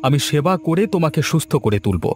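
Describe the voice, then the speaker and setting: A man's voice: on the high side, lively intonation, fast talker, close to an everyday voice. A man dubbing an earnest, hot-headed and protective young villager in a cartoon. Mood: concerned, indignant.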